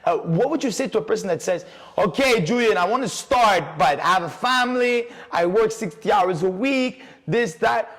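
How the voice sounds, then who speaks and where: A somewhat high male voice carries long reverb. He hosts in a loose, informal interview style, agreeing readily and repeating phrases back to his guest. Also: animated, moderate pace, a bit bright. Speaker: podcast host. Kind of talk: casual chat interview. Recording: echoey hall-like reverb.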